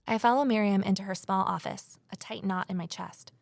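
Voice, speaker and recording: An actress plays an anxious, earnest young woman investigating small-town trouble in an audio drama. Her voice has a frequent fry and sits very dry, and she confides with intensity. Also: medium pitch, a little fast, no echo, natural voice. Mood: anxious, hurt. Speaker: lead character and first-person narrator. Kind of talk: full-cast audio drama acting and narration.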